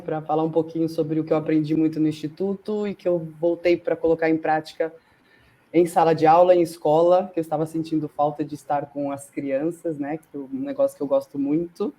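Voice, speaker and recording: Mellow, even-voiced Brazilian woman teacher. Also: deep voice, brisk. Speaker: panel guest, physical education teacher. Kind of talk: informal self-introduction on a panel.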